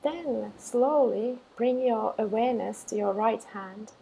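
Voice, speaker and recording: A woman teacher leading a guided meditation in a calm, unhurried manner, giving simple instructions with gentle pauses. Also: fairly high pitch, gravelly, a little hiss or hum, poor audio.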